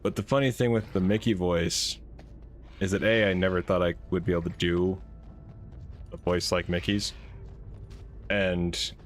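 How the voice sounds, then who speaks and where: A man with a naturally deep voice chatting casually while playing a game, rambling and laughing at himself. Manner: rambling, self-amused, laughs often. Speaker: game streamer. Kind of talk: casual gaming commentary.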